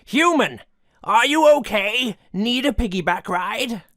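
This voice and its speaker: Boastful cartoon skeleton: piercingly bright, gravelly creak, grandiose yet kindly and earnest. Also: male voice, very high-pitched, animated, slow, dry recording, clean studio sound, exaggerated voice. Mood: concerned. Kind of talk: cartoonish fan comic dub acting.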